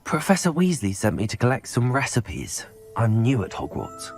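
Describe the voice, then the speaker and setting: Mild, polite student, lively pitch, soft music. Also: male voice, moderate pace. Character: polite young male student, new to the school. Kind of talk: video game cutscene.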